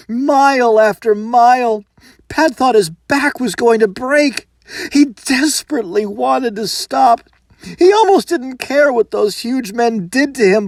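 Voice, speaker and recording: A man's voice: extremely high pitch, no room sound. A man reading a folktale as dramatic narration, with a theatrical, suspenseful and emphatic delivery.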